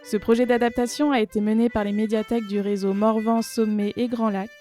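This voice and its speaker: A woman's voice: fairly high pitch, flat delivery, fairly quick, dark tone, faint music behind. A woman reading scripted credits as an announcer.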